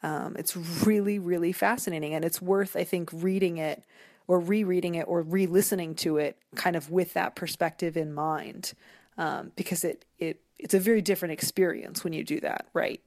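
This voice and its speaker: Woman, kinda low voice, thinking out loud in a halting way, interview guest, very dry sound. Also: steady tone, dry recording. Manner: thoughtful, halting, discursive. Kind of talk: conversational literary and cultural commentary.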